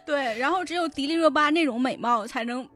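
A woman's voice: high voice, brisk, fairly bright tone, faint music behind. A woman in a casual podcast chat, explaining things with frequent small affirmations. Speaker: podcast panelist discussing adaptations of novels.

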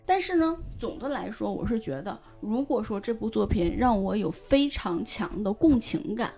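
A woman explaining things in animated casual chat as a guest on a talk show. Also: on the high side, moderate pace, vocal fry. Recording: background music under the voice.

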